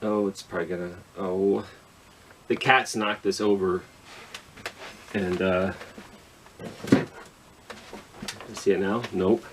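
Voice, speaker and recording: A man's voice: low-pitched, fairly even intonation, takes it slowly, long pauses, background noise. A man chatting casually to young children as a storytime host, informal and self-deprecating with rambling asides.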